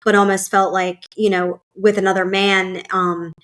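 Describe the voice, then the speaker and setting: A woman's voice: medium pitch, level tone, moderate pace, fairly bright tone. A woman hosting a podcast, talking casually with lots of fillers and asides. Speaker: podcast host. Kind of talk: casual conversation.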